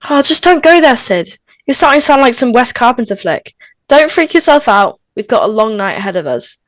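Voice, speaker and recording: A woman's voice: fairly high pitch, big pitch swings, a little fast, dry recording. Blunt teenage girl, acted by a woman. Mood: reassuring. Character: blunt teenage girl, chatty best friend. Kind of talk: table read of a film script.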